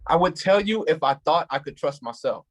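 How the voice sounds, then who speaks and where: An actor reading the part of a calm male police officer who consoles a grieving woman and tells a childhood story in a measured way. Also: a little high. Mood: consoling. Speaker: actor in a staged play reading. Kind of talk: staged play reading.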